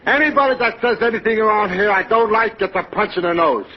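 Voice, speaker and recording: A muffled old radio recording with some noise carries an actor as a belligerent American tough-guy waiter in a comedy show. His voice is high for a man, gruff and slangy, always ready to threaten. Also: fast, close to an everyday voice. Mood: surly, threatening. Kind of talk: 1940s radio comedy acting.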